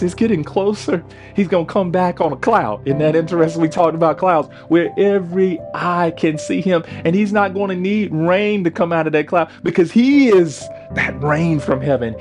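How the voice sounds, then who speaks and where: High-pitched man preaching over music. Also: darkish tone. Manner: exhortative, emphatic repeated refrains. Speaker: pastor preaching. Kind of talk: sermon to an online congregation.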